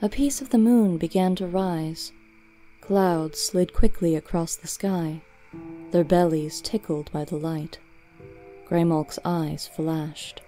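A woman's voice: fairly low pitch, steady tone, takes it slowly, dark tone, faint music behind. A woman narrator giving a storybook reading of fiction.

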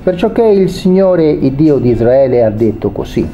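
A man preaching a sermon, reading a Bible passage aloud and then explaining it with pauses and restarts. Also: medium pitch, very animated, warm tone, soft background music, poor audio. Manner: reads scripture then explains it, hesitant pauses.

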